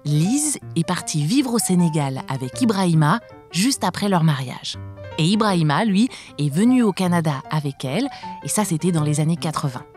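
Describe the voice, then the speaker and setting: Podcast host, woman, lowish voice, scripted intro read over faint music. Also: expressive, normal speed, darkish tone. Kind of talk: scripted narration.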